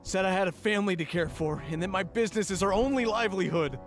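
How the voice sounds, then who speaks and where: A jittery merchant, speaking in a slightly high voice that still sounds rattled and on edge. He rambles on nervously, and game music plays clearly behind him. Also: fast talker. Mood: shaken. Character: jittery middle-aged merchant man, nervous. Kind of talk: video game voice acting.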